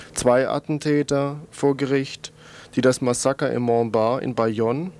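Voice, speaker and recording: A man's voice: mid-range voice, vocal fry, a little mellow. A man presenting a scripted radio news report in a matter-of-fact way, with small stumbles in his reading.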